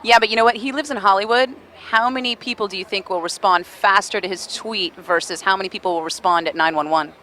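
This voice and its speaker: A woman radio host interviewing a musician outdoors, teasing him with quick banter. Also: medium pitch, sharp tone, slight background noise. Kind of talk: casual interview. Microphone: handheld mic, filmed on camera.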